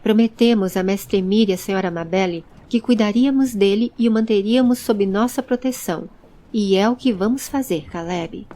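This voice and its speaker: A woman voicing a composed, protective young female traveller in an audio story, calm and firm. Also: mid-range voice, brisk, lightly acted. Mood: firm, resolute.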